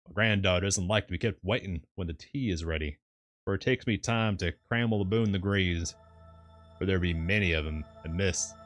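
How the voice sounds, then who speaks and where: Man playing ancient gnarled sailor, low voice, brusque, skeptical, folksy, storybook character, light music behind. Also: normal speed, close to an everyday voice. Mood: folksy, unhurried.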